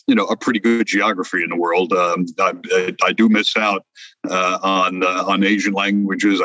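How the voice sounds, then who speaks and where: Low-voiced older French-born man. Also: pushed and bright, no echo, poor audio. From France. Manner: reflective, many hesitations and restarts. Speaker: guest. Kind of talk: conversational interview.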